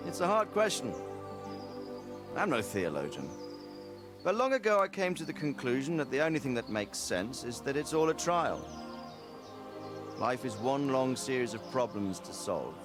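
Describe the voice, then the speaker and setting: A man's voice: mid-range voice, sing-song, normal speed, pauses often, music in the background, close to an everyday voice. A man voicing a fatherly, philosophical medieval lord in a video game, reflective and authoritative. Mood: reflective, grave.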